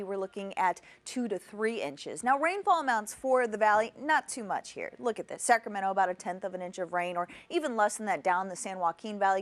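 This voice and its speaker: A woman with an American accent presenting a television weather forecast in a semi-scripted way. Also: mid-range voice.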